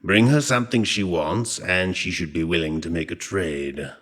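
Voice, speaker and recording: An actor playing an ancient Egyptian god of chaos in an audio drama, petulant and wry, with a theatrical, sardonic manner. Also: male voice, low-pitched, normal speed, a bit bright. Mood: knowing. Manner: theatrical, grandly sardonic, grumbling. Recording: long cavernous reverb on the voice.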